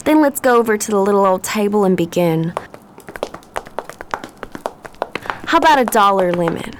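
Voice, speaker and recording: A woman's voice: medium pitch, animated, fast, noisy background, lightly acted. Genteel Southern American belle, played by a woman. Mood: gracious. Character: genteel, chatty Southern belle at a society card party. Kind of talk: radio play acting.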